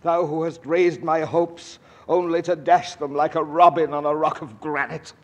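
An actor playing a pompous old ghost who declaims in archaic, grandiloquent speech in a 1940s radio drama. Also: male voice, a little high, fairly even intonation, moderate pace, close to an everyday voice. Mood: woeful, scornful. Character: pompous centuries-old English ghost, theatrical and cowardly. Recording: vintage 1940s radio broadcast.

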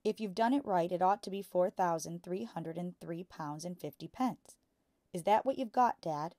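Lady doing a small brainy girl from a kids book, soft-mannered and sure of herself. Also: fairly low pitch, moderate pace, no room sound, close to an everyday voice. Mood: gentle, insistent. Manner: quiet, gentle, sure of herself. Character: gentle, quiet, clever young girl.

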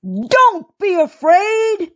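A woman's voice: very high-pitched, very animated, drawn-out words, voice pushed up, exaggerated voice. A woman voicing a bad old alligator, greedy and bellowing, in a children's storybook. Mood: menacing.

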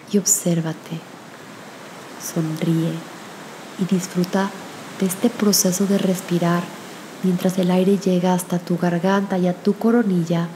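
Slow, low-voiced woman guiding meditation. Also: a little hiss or hum, poor audio. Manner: soft, gentle, soothing, with long pauses. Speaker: meditation guide. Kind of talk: guided meditation with spoken prayer.

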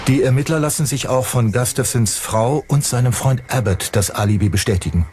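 A man's voice: mid-range voice, a little fast. A man narrating a true-crime documentary in a scripted style. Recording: music under the voice. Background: background music.

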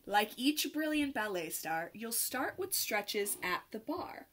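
A young adult woman reading a rhyming picture book aloud to children in an expressive, sing-song manner with clear phrasing. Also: on the high side, takes it slowly, slight background noise. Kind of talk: children's read-aloud, rhymed storytelling.

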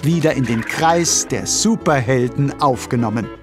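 A man narrating a children's audio drama in a lively scripted storytelling style. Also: mid-range voice, normal speed, over music.

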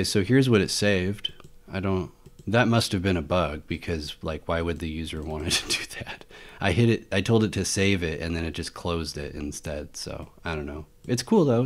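A man giving casual, unscripted commentary as a tech video host, rambling and thinking aloud as he goes. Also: deep voice.

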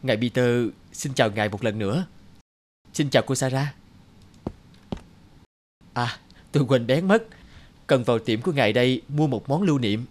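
A man voicing courteous, sociable businessmen with formal politeness in an animated cartoon. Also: medium pitch, normal speed, long pauses, lightly acted. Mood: polite, sociable. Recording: faint music under the dialogue.

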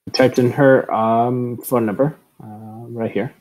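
A middle-aged man giving a casual screen tutorial in a halting, informal way, on an earbud microphone at home. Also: mid-range voice, little pitch movement, a little mellow, rough recording. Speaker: tutorial presenter, educator. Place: room at home, indoors. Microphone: earbud headset microphone.

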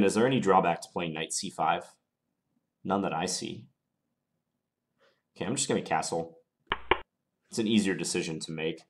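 A man with a very low voice and a slight cold talks through his chess moves at his computer. He sounds calm and analytical, weighing each option in a measured way. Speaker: chess commentator playing online. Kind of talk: thinking aloud during a chess game.

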